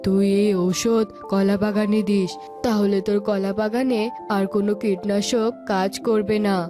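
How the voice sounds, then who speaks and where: A woman voicing a solemn male holy hermit with magic powers, speaking in measured, authoritative pronouncements for a cartoon. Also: medium pitch, level tone, lightly acted. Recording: music behind the voice.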